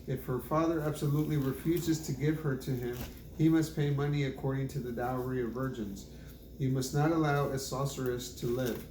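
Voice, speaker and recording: Male scripture reader, mid-pitched and measured, recorded in an echoey room with faint noise. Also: fairly even intonation, darkish tone. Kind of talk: reading scripture aloud. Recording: roomy echo, faint background noise.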